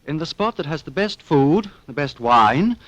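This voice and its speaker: An actor playing an earnest, anxious sidekick in an old-time radio drama, with an exclamatory manner. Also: male voice, on the high side, very animated, moderate pace, fairly bright tone, no echo. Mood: weary, determined.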